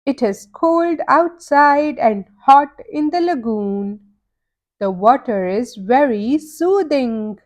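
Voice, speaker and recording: Female storybook narrator, high and animated, extremely unhurried delivery for small children. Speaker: children's read-aloud narrator.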